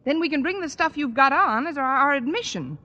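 An actress playing a brisk, dry-witted schoolteacher in an old radio comedy, tossing off sardonic quips. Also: female voice, high voice, lively intonation, sharp tone. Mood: wry. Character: wisecracking, dry-witted unmarried woman teacher, brisk and self-possessed. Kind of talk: 1950s radio comedy acting. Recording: echoey, muffled old radio recording.

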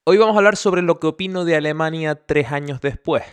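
A man's voice: on the high side, brisk, smooth. A young man from the Canary Islands with a Canarian Spanish accent, hosting a casual podcast from home with chatty, joking asides. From Canary Islands, Spain. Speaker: podcast host. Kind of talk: casual chat. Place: at home, indoors.

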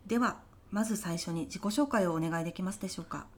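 A woman's voice: fairly low pitch, fast talker, a little mellow, close to an everyday voice. A woman playing a polite but easily exasperated veteran job interviewer in an audio comedy sketch, speaking formal business Japanese. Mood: polite, composed.

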